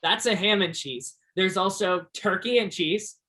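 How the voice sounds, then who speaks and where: A man's voice: sits high, measured pace, pushed and bright, heavily acted. A man acting a shy, naive, earnest young shop worker in a stage play, plainspoken. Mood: businesslike.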